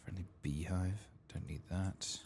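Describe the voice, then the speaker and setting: Low, soft-spoken man murmuring ASMR commentary. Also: steady tone, slight background noise. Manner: unhurried muttering to himself. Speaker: host of an ASMR game playthrough.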